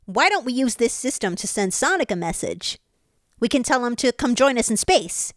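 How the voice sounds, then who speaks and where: A woman's voice: fairly high pitch, big pitch swings, moderate pace, no echo, studio quality. A woman playing an earnest, sensible young cartoon animal sidekick in a fan audio drama, given to worry. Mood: encouraging. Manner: pleading, sensible.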